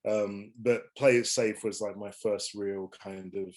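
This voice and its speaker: A young man with a fairly low voice, raised in Rwanda, talking as a guest over a remote call. He speaks in a relaxed, hesitant way, full of "like", "kind of" and restarts. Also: measured pace. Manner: relaxed, hesitant, many fillers and restarts, laughs at times. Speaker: filmmaker guest. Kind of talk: interview. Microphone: remote call from London.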